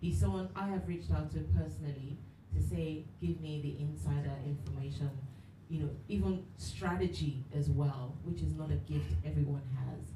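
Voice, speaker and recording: Low-voiced woman emceeing in an echoey hall. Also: normal speed. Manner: upbeat, casual, uses slang. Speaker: event emcee. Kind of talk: introducing speakers. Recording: distant, echoey room with noise.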